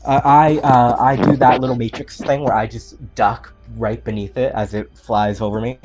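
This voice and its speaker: Mid-pitched man, slightly dull game-session audio. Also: fairly even intonation, normal speed, darkish tone, faint music behind. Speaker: player. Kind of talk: casual tabletop game talk.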